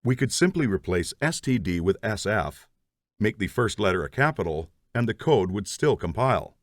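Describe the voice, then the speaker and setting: Lively low-voiced man teaching code. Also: moderate pace, no echo, studio quality. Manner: methodical, explains step by step. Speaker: programming instructor. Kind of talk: scripted tutorial narration.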